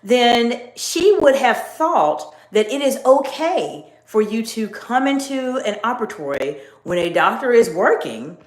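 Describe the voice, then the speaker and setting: A middle-aged woman coaching viewers on leadership in a live stream, speaking in a measured, emphatic teaching tone. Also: fairly high pitch, sing-song. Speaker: executive coach. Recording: echoey room.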